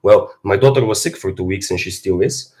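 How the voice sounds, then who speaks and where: A man, hoarse from a cold, talking bluntly and philosophically in a casual livestream monologue as a fitness streamer. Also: low voice, brisk, a little mellow. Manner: blunt, philosophizing, swears freely.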